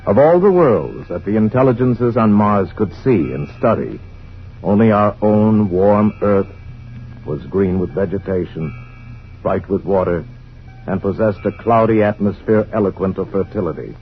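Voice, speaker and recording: Over music and through a dull vintage radio sound comes a low-voiced, solemn narrator. He tells a science fiction tale in measured, portentous phrases, grave and all-knowing. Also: expressive. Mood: grave, ominous. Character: solemn omniscient male narrator of a Martian invasion tale. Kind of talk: scripted radio drama narration. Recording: dull old radio broadcast recording. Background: dramatic music.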